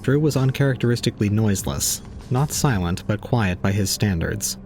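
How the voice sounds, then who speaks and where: A man's voice: fairly low pitch, expressive, moderate pace, darkish tone, a little hiss or hum. A man playing an aloof young man, narrating an audio drama in a formal, detached, cold way. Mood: brooding, detached.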